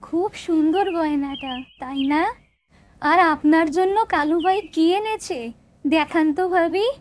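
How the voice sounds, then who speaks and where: Super high cartoon village wife, smug and teasing, slow sugary taunts. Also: female voice. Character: gloating, teasing village housewife, sister-in-law. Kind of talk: comic cartoon dubbing.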